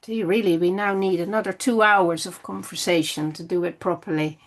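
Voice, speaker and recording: An older woman closing a philosophy workshop on a video call, speaking in a calm, encouraging way. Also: fairly low pitch. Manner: slow, calm, encouraging delivery. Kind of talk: closing a lecture, then leading Q&A. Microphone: online video call.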